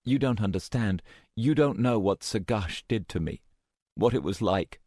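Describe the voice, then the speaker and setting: A frightened, worn-out young woman in an audiobook, her words coming haltingly and strained, as a male narrator puts her on. The voice is lifted noticeably above his own deep tone, though it stays low for a woman. It is recorded close and dry with no room sound. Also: animated, darkish tone, no room sound. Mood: anguished.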